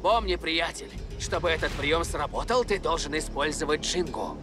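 A man's voice: a little high, animated, moderate pace, natural voice. An actor voicing an easygoing, cheerful male capoeira teacher in a dubbed cartoon. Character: laid-back male capoeira teacher on a beach. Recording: cartoon dub with music behind.

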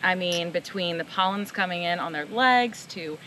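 A middle-aged woman, a mixologist, chatting excitedly outdoors on a farm, recorded by a far camera. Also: lowish voice, moderate pace, a little hiss or hum. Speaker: mixologist and co-host. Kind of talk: casual chat.

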